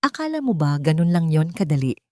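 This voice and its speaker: A woman's voice: low-pitched, sing-song, fairly quick, warm tone, no room sound, studio quality. A woman voicing a proud, indignant young working woman in a dramatic audio story. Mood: angry, wounded.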